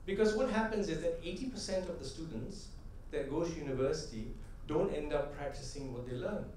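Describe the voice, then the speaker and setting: A middle-aged man tells stories to a convention crowd in a slightly raised, mellow voice. He sounds set back from the mic, and his words ring through a big, echoey hall over a faint murmur of room sound. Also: a little high, fairly quick, recorded from a distance, a little hiss or hum. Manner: conversational storyteller, often tags "right?"; builds to rhythmic, emphatic punchlines. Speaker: convention speaker. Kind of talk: motivational conference talk with anecdotes.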